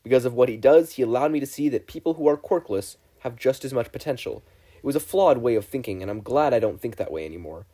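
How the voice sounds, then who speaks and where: A man's voice: mid-range voice, expressive, quick pace, mellow, close to an everyday voice. A man voicing an earnest, formal and dramatic teenage boy student in a story reading.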